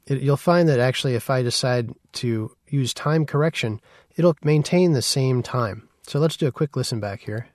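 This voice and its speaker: Male tutorial instructor, medium pitch, informal conversational delivery, dry studio-style sound. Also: normal speed, darkish tone, no room sound. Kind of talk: casual software tutorial.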